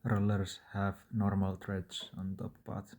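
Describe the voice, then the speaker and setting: A man's voice: low-pitched, completely flat delivery, slow, heavy background noise. A man narrating a do-it-yourself repair tutorial in a plain, matter-of-fact, step-by-step way. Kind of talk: instructional DIY narration.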